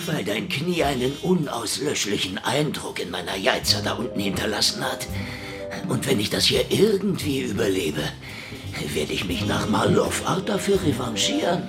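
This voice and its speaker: Actor as a sardonic hardboiled detective, swinging pitch. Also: male voice, big pitch swings, normal speed, music in the background, natural voice. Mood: defiant, threatening. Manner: sardonic, wisecracking, dry. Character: cynical hardboiled Soviet secret police detective, sardonic and defiant. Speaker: lead actor and first-person narrator. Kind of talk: German hardboiled radio crime drama acting.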